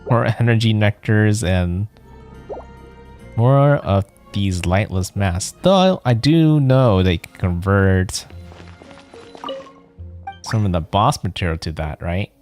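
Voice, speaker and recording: Male livestreamer, fairly low voice, loose think-aloud chat during gameplay, music behind. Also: expressive, slow, pauses often, a little mellow. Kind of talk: casual chat while playing a game.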